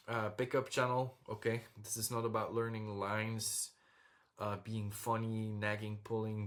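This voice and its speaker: A man's voice: on the low side, fairly bright tone. A young man from Belgium, talking casually and directly to his viewers over slides, often checking in with "okay?". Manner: direct, repeats "okay?" and "all right?" to the viewer. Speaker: dating coach vlogger.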